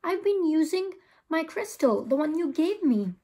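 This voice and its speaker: A woman's voice: very high-pitched, sing-song, measured pace, warm tone, voice pushed up, heavily acted. A woman reading the part of an unsettled, argumentative sixth-grade boy in a storybook, blunt and questioning.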